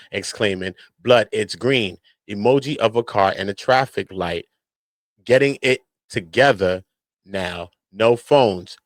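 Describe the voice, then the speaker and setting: A man's voice: fairly low pitch, no echo. An American man doing casual street-slang commentary on criminal cases, speaking in an emphatic, repetitive, slangy way. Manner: repetitive verbal tags, emphatic slangy delivery. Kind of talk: casual street-slang commentary, reading documents aloud at times.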